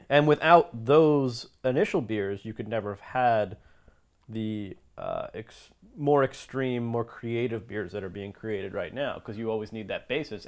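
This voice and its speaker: A talkative man from the United States co-hosting a casual chat, explaining his points conversationally. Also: lowish voice.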